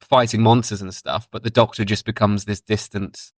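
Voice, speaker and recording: A man with a fairly low voice talks casually as a podcast co-host. He is enthusiastic and often halting as he thinks his way through a review. The sound is dry, with hardly any room on it. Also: steady tone, no room sound. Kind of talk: casual chat reviewing audio drama.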